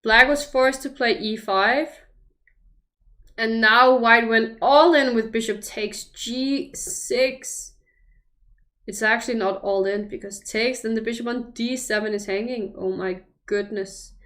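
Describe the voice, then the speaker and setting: A woman's voice: fairly high pitch, fairly even intonation, unhurried, fairly bright tone. A young woman from Denmark, commentating chess live on a stream and thinking aloud over the moves with hesitant restarts. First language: Danish.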